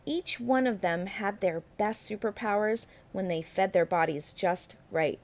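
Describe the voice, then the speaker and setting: A woman narrates a picture book for children at a slow, measured pace. Her pitch sits in the middle range for a woman. She phrases expressively and leans deliberately on key words. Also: a little mellow. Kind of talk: expressive children's read-aloud storytelling.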